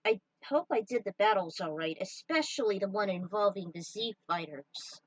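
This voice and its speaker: A woman reading her own story aloud and chatting informally to her followers, with an excitable manner. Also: medium pitch, moderate pace, dry recording, far from the mic, poor audio. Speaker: fan fiction writer and narrator.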